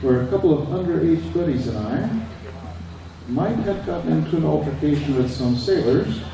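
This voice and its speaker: An older man from Indonesia gives a prepared speech with dry, plain-spoken humour. He is caught from far off by a camera in a big echoey hall, with a steady hum of noise around him. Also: a little high, normal speed, creaky. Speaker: speaker at a veterans event.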